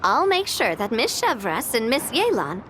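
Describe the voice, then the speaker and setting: High lively young woman official from a game, earnest, reassuring, bit of noise behind. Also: unhurried. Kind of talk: video game voice acting.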